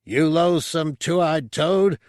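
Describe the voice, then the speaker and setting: A man's voice: fairly high pitch, slow, a little mellow, no echo, clean studio sound, voice pushed up. A man voicing a drunken, hot-tempered alien thug, ranting furiously in an audiobook story.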